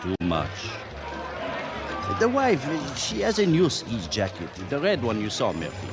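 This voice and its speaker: Gallant French host, French accent, suave, theatrical, swooping intonation, music underneath. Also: male voice, medium pitch, wildly swooping pitch, a little slow. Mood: indignant, defensive. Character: charming, flirtatious Frenchman hosting at a ski lodge. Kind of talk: radio drama acting.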